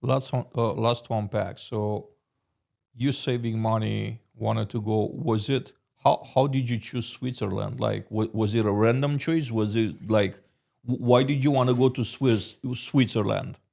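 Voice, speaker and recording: A man's voice: lowish voice, steady tone, a little slow, gravelly, a little mellow. A man whose first language is Romanian, hosting a podcast and asking probing questions with hesitant restarts. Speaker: podcast host. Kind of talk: interview questions.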